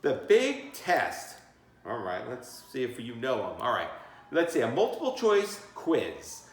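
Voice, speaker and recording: A man's voice: medium pitch, wildly swooping pitch, measured pace. A man reading a children's book aloud as a teacher, theatrical and encouraging, breaking off for chatty asides and questions. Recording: echoey room.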